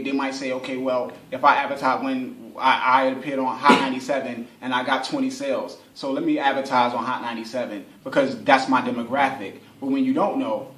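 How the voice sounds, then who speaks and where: A man's voice: mid-range voice, a bit bright. Man teaching in an echoey room. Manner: conversational, repeats phrases for emphasis, poses hypothetical quotes. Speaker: workshop instructor, advertising specialist. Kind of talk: informal workshop explanation. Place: indoor room at a radio station. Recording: roomy, echoey workshop recording.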